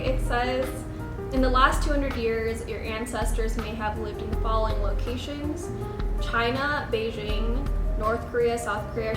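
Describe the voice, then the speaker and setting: A woman talks casually to her viewers in a fairly high voice, chatty and informal. The room sounds echoey, and music plays loudly behind her. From grew up outside the Philippines, family from there. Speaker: vlogger. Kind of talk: casual chat to viewers, partly reading results aloud. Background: loud music.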